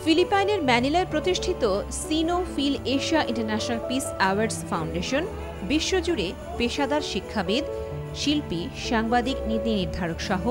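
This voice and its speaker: A woman with a Bangladeshi accent reading a scripted documentary narration over music. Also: fairly high pitch. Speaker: programme narrator. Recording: clear music bed behind voice. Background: background music.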